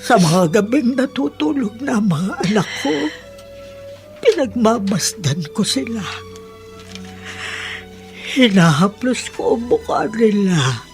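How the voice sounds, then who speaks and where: A woman with a Filipino accent playing a sorrowful, sympathetic mother exiled from her family in a radio drama, speaking in a measured, plaintive way. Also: a little high, lively intonation, soft background music.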